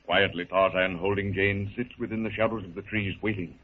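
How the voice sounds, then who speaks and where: A man's voice: low voice, steady tone, brisk, slightly rough. A man narrating a 1930s radio adventure serial in a formal, dramatic storytelling manner. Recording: tinny, noisy 1930s radio recording.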